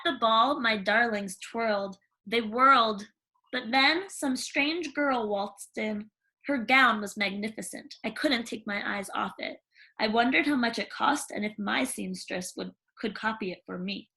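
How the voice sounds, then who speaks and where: A woman reading a children's story aloud in an expressive, theatrical way with dramatic pauses. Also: on the high side. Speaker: storybook reader.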